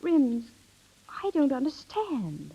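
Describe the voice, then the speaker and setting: Young woman, affectionate and halting, high voice, vintage radio drama style, muffled sound. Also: huge pitch swings, heavily acted. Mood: earnest, exasperated. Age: young adult. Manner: hesitant, breaks off and repeats words. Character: earnest, affectionate young woman, sweetheart turned budget-minded wife. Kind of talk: 1930s radio acting. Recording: dull 1930s radio broadcast recording.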